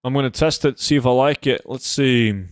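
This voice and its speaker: A man talks casually on a gaming livestream. He is blunt and swears offhandedly, in a mid-pitched voice. The sound is close and dry, with very little room in it. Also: moderate pace, clear, resonant tone, dry recording. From Norway. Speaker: game livestreamer.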